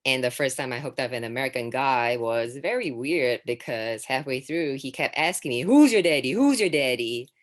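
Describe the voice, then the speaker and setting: Low-voiced deadpan woman doing stand-up. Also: moderate pace, few pauses. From Singapore. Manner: deadpan delivery with sudden high-pitched exclamations. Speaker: stand-up comedian. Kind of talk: stand-up set.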